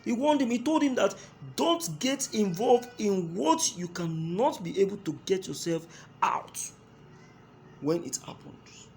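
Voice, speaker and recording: High-pitched Nigerian man, emphatic political commentator. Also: expressive, unhurried, darkish tone, faint music behind. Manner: emphatic, repeats phrases for stress. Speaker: host of a political news commentary show. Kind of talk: opinionated political commentary to listeners. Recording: clearly audible background noise.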